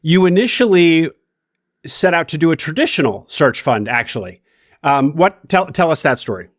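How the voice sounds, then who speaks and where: A man's voice: on the high side, lively intonation, moderate pace, dry recording, studio quality. A man hosting a podcast interview, talking conversationally with emphatic rises on key words. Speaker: podcast host.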